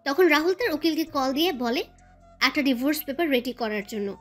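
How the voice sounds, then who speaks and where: High-pitched woman narrating a story. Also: brisk, a bit bright, soft background music.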